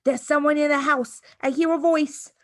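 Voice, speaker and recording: A woman's voice: sits high, fairly even intonation, normal speed, pitched above the performer's own voice, close to an everyday voice. A woman voicing a panicky, bossy mother in a storybook, shrieking and hissing dramatically. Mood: terrified.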